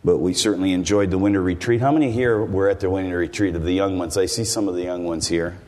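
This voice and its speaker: A man's voice: sits low, steady tone, fast talker, darkish tone. An older American man preaching to a congregation in a folksy, rambling way, turning to the listeners with asides. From United States. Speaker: visiting preacher. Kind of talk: sermon. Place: church hall. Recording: long hall reverb.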